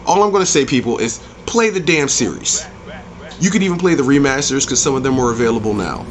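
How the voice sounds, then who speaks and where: A man's voice: medium pitch, lively intonation, fast talker, background noise. A man hosting an opinionated review rant, speaking in an emphatic, blunt, profane way and repeating phrases for punch. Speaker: video game movie reviewer and channel host.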